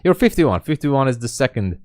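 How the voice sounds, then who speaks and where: A man's voice: medium pitch, big pitch swings, a little fast, darkish tone, no room sound, studio quality. A man in his late thirties, a day trader chatting casually on his live stream and answering viewer comments with a candid, self-deprecating manner. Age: 30s. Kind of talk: casual livestream chat.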